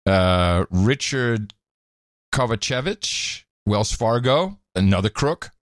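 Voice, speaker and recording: Joking podcast guy reading stuff out, bouncy pitch, dry sound. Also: animated, slow pace, no echo. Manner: wry, joking, laughs often. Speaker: podcast co-host. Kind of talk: casual chat.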